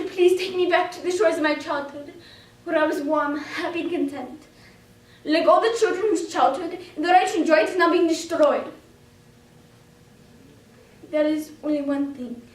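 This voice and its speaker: A woman performing a dramatic monologue as a frightened, anguished young wartime girl, emotive and deliberate in delivery. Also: extremely high pitch. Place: indoor room, recorded from a distance. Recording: roomy echo, distant microphone.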